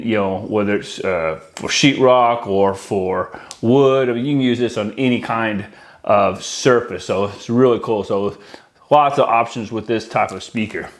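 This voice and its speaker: A man's voice: lowish voice, a little slow. An American man in his forties giving a casual, conversational product walkthrough to camera as an installer who addresses his viewers directly. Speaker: audio-video installer presenting products. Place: indoor room with decorated wall, at a worktable.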